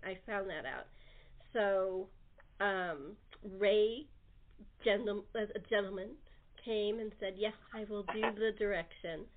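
A woman's voice: medium pitch, narrow, tinny audio. A woman hosting a casual, chatty cast interview on a talk show. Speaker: host and producer of an audio theater talk show. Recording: thin, band-limited call-in show audio.

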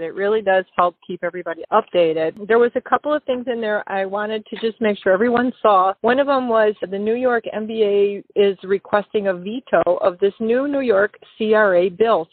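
A woman's voice: medium pitch, no echo. A woman giving a legislative update on a radio talk show, explaining steadily with occasional light laughs. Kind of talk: casual radio chat. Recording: dry phone-line audio.

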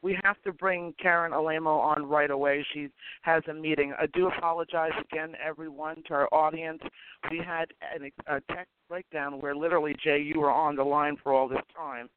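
A male host with a hoarse voice, apologizing and rambling as he runs a casual call-in talk show. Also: a little high, steady tone, moderate pace, a little hiss or hum. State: hoarse, lost his voice. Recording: tinny phone line.

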